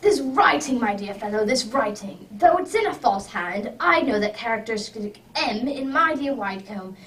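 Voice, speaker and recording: A woman plays a hearty gentleman detective in a radio drama, speaking high and with big swings of pitch. The manner is exclamatory and courteous, heard slightly off the mic with some faint noise. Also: expressive, normal speed, recorded from a distance. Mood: keen, urgent.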